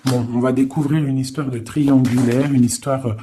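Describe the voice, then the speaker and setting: A man giving a casual, improvised tarot reading, thinking aloud in an informal, halting way with frequent little tag questions. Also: mid-range voice, fairly even intonation, dark tone, slight background noise. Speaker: tarot card reader.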